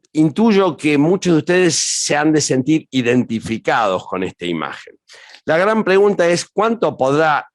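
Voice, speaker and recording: Argentine guy doing a webinar talk, medium pitch, explains stuff carefully like a seminar speaker. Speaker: invited speaker and executive advisor. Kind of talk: online seminar presentation.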